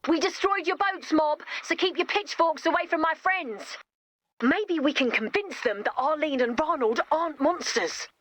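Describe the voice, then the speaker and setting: Casting: high-pitched, wry, gently bossy young game heroine, romantic and earnest. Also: female voice, lively intonation, normal speed, no echo. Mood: exasperated. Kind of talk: video game voice lines.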